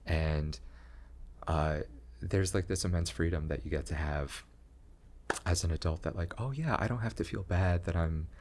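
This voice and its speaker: A young man talking slowly in a low voice with long pauses, chatting as a guest about his art and career. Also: a little mellow, a little hiss or hum. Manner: slow, low-voiced, pausing talk. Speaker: comedian and graphic design teacher, guest. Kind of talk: casual interview chat.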